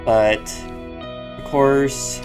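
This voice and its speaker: A man hosting a reaction video, speaking softly and hesitantly in casual commentary, often restarting his sentences. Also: medium pitch, steady tone, drawn-out words, over music. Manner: soft-spoken, hesitant, with repeated restarts. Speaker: YouTube reaction host.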